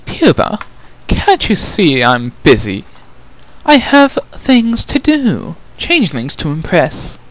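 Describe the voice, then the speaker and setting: A man's voice: fairly high pitch, huge pitch swings, slow, a bit bright, pitched above the performer's own voice, exaggerated voice, low-quality recording. A man voicing a haughty elder changeling princess, stern and impatient, in a dramatic fantasy story reading. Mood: annoyed.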